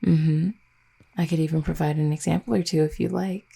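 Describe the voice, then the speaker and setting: A woman's voice: sits low, steady tone, a little fast, gravelly, warm tone, breathy edge, full character voice. A woman playing an affectionate, teasing vampire girlfriend in an intimate roleplay audio, speaking in a tender, conversational way. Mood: doting.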